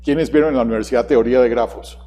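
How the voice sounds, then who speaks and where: A man's voice: medium pitch, steady tone, fast, warm tone. A man giving a keynote talk at a conference, asking the audience questions. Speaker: conference presenter. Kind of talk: keynote presentation. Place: large conference hall. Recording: long hall reverb.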